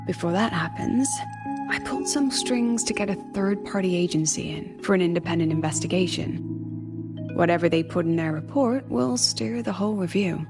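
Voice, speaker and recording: An actress playing a shrewd, scheming academy professor who talks in a coaxing, conspiratorial way. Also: female voice, on the low side, lively intonation, normal speed, music in the background. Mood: earnest. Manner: coaxing, conspiratorial, slightly awkward. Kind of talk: video game voice acting.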